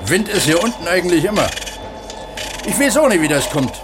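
An actor playing a down-to-earth, contented mine pump attendant, unhurried and colloquial, in a radio play. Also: male voice, high-pitched, big pitch swings, noisy background. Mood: plain, recounting. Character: plain-spoken, contented male mine pump attendant who writes. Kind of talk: 1970s East German radio play acting.

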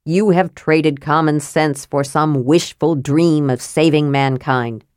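A woman's voice: low-pitched, takes it slowly, darkish tone, smooth, dry recording, studio quality. A woman narrator voicing a cautious, practical male half-elf leader in a fantasy audiobook, weary and reasonable. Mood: weary reassurance.

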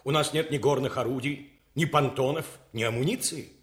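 An actor playing a loyal, respectful prince and general in a radio drama, earnest and formal in a military way. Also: male voice, mid-range voice, moderate pace, lightly acted. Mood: alarmed. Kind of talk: Soviet radio drama acting.

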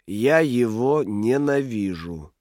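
An actor playing a broken but inwardly defiant political prisoner in an audio drama, halting and brooding in his delivery. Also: medium pitch, lively intonation, a little mellow, no room sound, clean studio sound.

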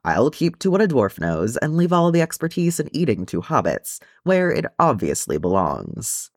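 A man voicing a hearty, good-humoured, proud dwarf in a storybook reading, with measured, old-fashioned phrasing. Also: a little high, wildly swooping pitch, no room sound, studio quality, voice pushed up, full character voice.

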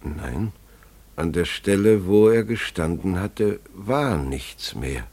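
A sombre bewildered dad in a radio play, really deep and unhurried, halting. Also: male voice, lively intonation, a little mellow, breathy edge, full character voice. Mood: hushed, bewildered. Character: bereaved family father, grave, bewildered eyewitness. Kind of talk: German radio play acting.

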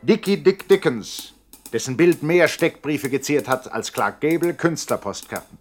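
A man's voice: a little high, expressive, measured pace, faint music behind. A man narrating a scripted radio play in a declamatory, mock-epic manner.

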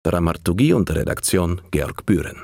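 A man's voice: sits low, big pitch swings, moderate pace, gravelly, a little mellow. A man reading scripted radio drama credits as an announcer.

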